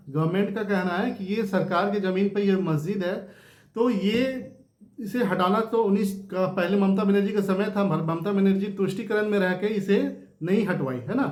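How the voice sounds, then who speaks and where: A man with a high-pitched voice talks through the news as a host, unscripted and informal. He explains by repeating himself and adds small rhetorical check-ins. The recording is noticeably roomy and echoey. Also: darkish tone. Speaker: host of a news discussion channel. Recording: roomy, echoing room sound.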